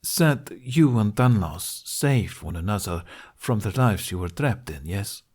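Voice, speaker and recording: A man's voice: on the low side, expressive, a little slow, a little mellow, slightly rough. A man voicing a stern, earnest older Hungarian archery mentor in an audiobook, with solemn, formal phrasing.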